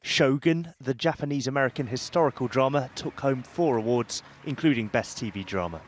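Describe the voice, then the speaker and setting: A man voicing a scripted television news report in crisp, clipped phrases. Faint noise sits under his voice. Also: medium pitch, brisk.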